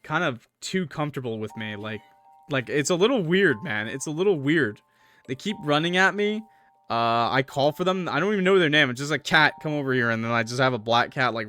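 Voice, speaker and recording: Young male streamer, casual and loud, cracks crude jokes to chat. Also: medium pitch. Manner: loud, profane, joking asides to chat. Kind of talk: casual gaming commentary.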